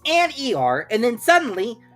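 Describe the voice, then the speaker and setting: Woman acting a bossy, emphatic storybook rabbit, high-pitched. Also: huge pitch swings, a bit bright, faint music behind, heavily acted. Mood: exasperated. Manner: emphatic, pompous, deliberate, lecturing. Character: Rabbit, bossy self-important male rabbit, brisk schemer.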